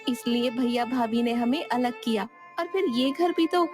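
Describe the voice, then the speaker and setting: A woman voicing a patient, sensible wife in a cartoon, calm and reasoning. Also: fairly high pitch, moderate pace, lightly acted. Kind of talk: cartoon voice acting. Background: background music.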